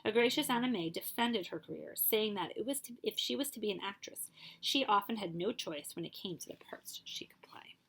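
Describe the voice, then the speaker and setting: A woman librarian reading a picture book aloud at a steady storytelling pace, now and then stopping for a short aside to her listeners. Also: mid-range voice, a little fast, vocal fry, slightly rough, poor audio.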